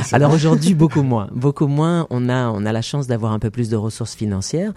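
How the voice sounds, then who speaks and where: A man from France, a festival founder, answering interview questions in a measured way with many pauses and fillers. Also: medium pitch, dark tone. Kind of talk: interview conversation.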